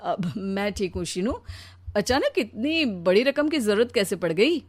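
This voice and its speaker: A woman's voice: mid-range voice, very animated, measured pace, dry recording. A woman voicing a weary, put-upon young Indian husband in a cartoon story, plaintive and pleading. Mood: reluctant. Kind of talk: Hindi cartoon story dialogue.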